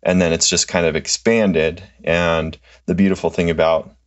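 A man in his thirties, a social worker, answering interview questions in a relaxed, halting way with many fillers. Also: deep voice, dull audio. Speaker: guest, clinical social worker.

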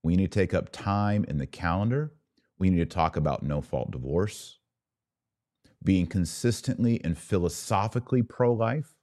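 A man's voice: low-pitched, animated, moderate pace, darkish tone. A man hosting a podcast, delivering an impassioned political monologue in an emphatic, rhetorical way with repeated phrases for stress. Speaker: podcast host and political commentator.

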